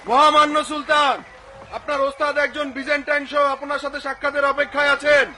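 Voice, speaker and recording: A high, deferential palace attendant. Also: male voice, level tone, fairly quick, pushed and bright, slight background noise, exaggerated voice. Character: dutiful male palace attendant. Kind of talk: cartoon dubbing.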